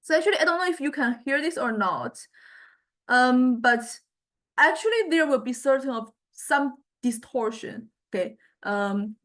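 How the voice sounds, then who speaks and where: A woman giving a step-by-step software tutorial as a lecturer, hesitant and halting, often checking in with listeners. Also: sits high, expressive. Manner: hesitant, halting delivery with repeated check-ins.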